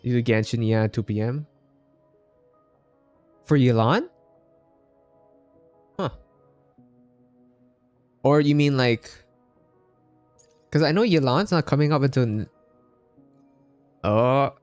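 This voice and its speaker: A man's voice: mid-range voice, slow, long pauses, darkish tone. A man from the Philippines livestreaming a game, chatting casually with his viewers. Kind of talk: casual chat with live viewers while gaming. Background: game music.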